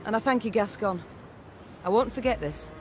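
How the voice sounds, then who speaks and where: A stern queen from a fantasy video game, voiced by an actress. She speaks in formal, archaic phrasing with imperious command. Music plays clearly behind her. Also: mid-range voice, lively intonation, normal speed, close to an everyday voice. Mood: intent. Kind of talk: scripted video game voice acting.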